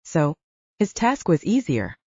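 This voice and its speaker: Audiobook narrator, woman, low-lit warm tone, expressive intonation, scripted literary prose. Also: unhurried, husky, dry recording. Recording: slightly dull, lo-fi close recording.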